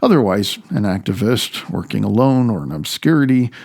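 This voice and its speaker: A man from the United States reading his own book as an author, in a halting, opinionated way with loose spoken asides. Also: lowish voice, sing-song, gravelly, darkish tone. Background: faint music.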